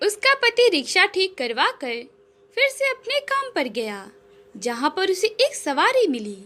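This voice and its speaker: Female storyteller for cartoon moral tales: very high pitch, lively intonation, slow measured pace. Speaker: narrator.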